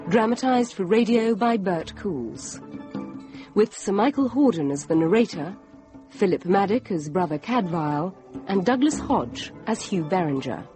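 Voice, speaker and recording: A woman radio announcer reads drama credits in a formal, measured way. Her medium-pitched voice moves expressively, with music clearly playing behind it. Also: lively intonation.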